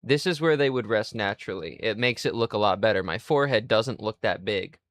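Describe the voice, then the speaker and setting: A man in his twenties streaming live, rambling in a crude, self-mocking way and thinking out loud. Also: on the low side, fairly quick, no room sound, clean studio sound. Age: 20s. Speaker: livestreamer. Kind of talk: casual livestream chat.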